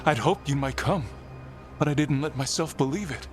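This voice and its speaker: A man playing a gentle, earnest elf. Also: a little high, normal speed, faint music behind, lightly acted. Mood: relieved, tender. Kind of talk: video game voice acting.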